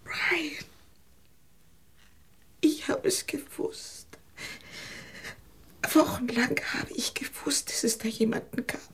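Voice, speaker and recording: Husky, possessive, furious cornered woman. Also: fairly high pitch, slow, pauses often, fairly bright tone, heavily acted. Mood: anguished, possessive. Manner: theatrical, emphatic, breaking into desperate whispers. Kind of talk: German radio play acting.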